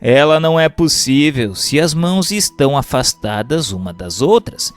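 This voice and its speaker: A Brazilian man narrates a written text in a formal, instructive way. His voice sits a little high and swings widely in melody, with careful enunciation. The pace is unhurried, and soft music plays underneath. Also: expressive. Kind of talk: scripted narration, reading a book aloud.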